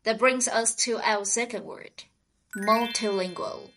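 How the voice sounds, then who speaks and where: A woman co-hosting a scripted vocabulary lesson, speaking in a slow, clear teaching manner. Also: fairly high pitch, fairly even intonation, fairly bright tone, faint music behind. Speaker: podcast co-host.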